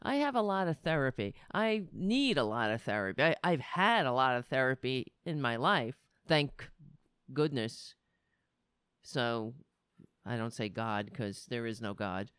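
A woman's voice: very deep voice, lively intonation, unhurried, fairly bright tone, clear, resonant tone, dry recording, studio quality. A woman hosting a livestreamed political talk show, talking in a chatty, rambling and sardonic way.

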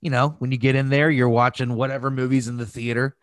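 A man's voice: medium pitch, flat delivery, fast talker, dry recording, studio quality. A man chatting casually on a podcast, telling stories in a rambling, loose way with some swearing. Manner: profane, rambling storytelling. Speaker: podcast host. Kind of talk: casual conversational podcast chat.

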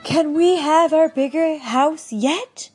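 A woman's voice: sits high, slow pace, darkish tone, soft background music, voice pushed up. A woman voicing an eager, naive husband in a storybook tale, asking hopeful questions.

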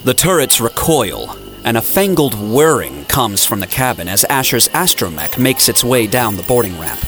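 A man's voice: medium pitch, big pitch swings, normal speed, fairly bright tone. A man reading scripted, dramatic story narration for an audio drama. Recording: music playing behind the voice.

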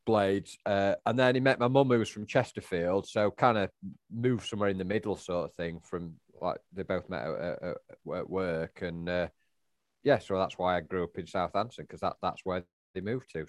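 A middle-aged man, his voice sitting fairly low. He meanders through answers in casual conversation, full of "um"s and false starts. The sound is close and dry, with no room around it. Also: steady tone, moderate pace, no room sound. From England. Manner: rambling, hesitant with many restarts and fillers. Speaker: podcast co-host. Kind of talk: casual chat.

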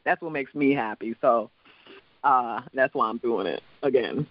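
A comedian from the Virgin Islands with a mixed island, Midwestern and New York accent, chatting loosely in an interview, rambling, laughing and swearing casually. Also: male voice, mid-range voice, a little slow, no room sound, lo-fi sound. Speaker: comedian and show producer.

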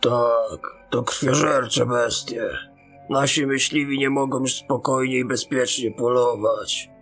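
A man's voice: medium pitch, steady tone, slow, fairly bright tone, soft background music. An actor playing a battle-weary male ensign in a fantasy audio drama, reporting in a grave, respectful military manner.

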